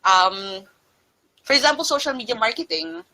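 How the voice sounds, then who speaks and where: Filipino woman, bright clear voice, casual talk switching between Tagalog and English. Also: high voice, animated, moderate pace, poor audio. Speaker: guest freelancer. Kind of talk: casual interview answers.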